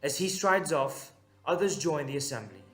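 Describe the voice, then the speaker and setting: A man narrating a scripted audio drama in a measured, dramatic way with deliberate pauses. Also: on the high side, expressive, faint music behind.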